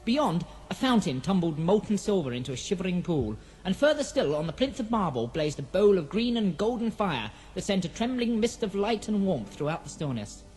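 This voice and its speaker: Lively storybook narrator over music. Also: mid-range voice, a little fast, few pauses. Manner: poetic, storybook delivery. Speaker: narrator of fantasy audio drama. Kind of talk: scripted dramatic narration.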